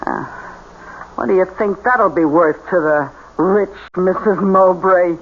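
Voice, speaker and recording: A woman's voice: fairly low pitch, a little slow. An actress playing a scheming, bossy female con artist in a radio drama, speaking in a commanding, insistent way. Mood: scheming, instructive. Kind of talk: old-time radio drama acting. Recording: dull, noisy old radio recording.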